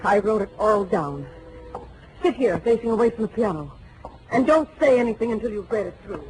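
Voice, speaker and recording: A woman's voice: mid-range voice, animated, a little fast, lightly acted. An actress playing an emotional, lovestruck woman with a secret, in melodramatic 1940s radio style. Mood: anxious, resolute. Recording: slightly noisy old radio recording.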